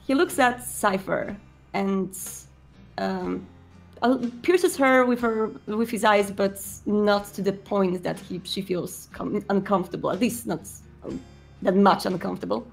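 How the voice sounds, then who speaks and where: A woman game master describes the scene of a tabletop roleplay. She improvises, pausing to search for words, and faint music plays underneath. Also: mid-range voice, animated, vocal fry.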